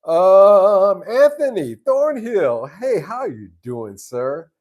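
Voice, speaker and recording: A man with a very low voice hosting a casual live stream, answering viewer questions in an easygoing, rambling way with many restarts. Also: very animated, very slow, a little mellow. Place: live stream from home base abroad.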